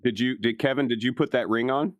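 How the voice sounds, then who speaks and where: A man's voice: mid-range voice, fast talker, dry recording. A man chatting casually as a player in a tabletop game, swearing freely.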